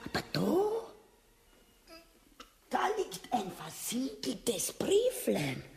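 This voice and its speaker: An actress playing a sardonic, crude finance minister in a radio drama, with an Austrian accent. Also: female voice, a little high, huge pitch swings, extremely slow, creaky, darkish tone, exaggerated voice. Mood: sly.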